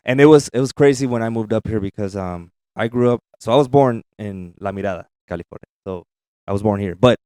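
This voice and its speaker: Smooth, low-pitched man chatting casually. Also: quick pace, dark tone, no echo. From US-Mexico border region, Mexico. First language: Spanish. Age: 30s. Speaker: podcast guest. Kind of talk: casual chat, storytelling.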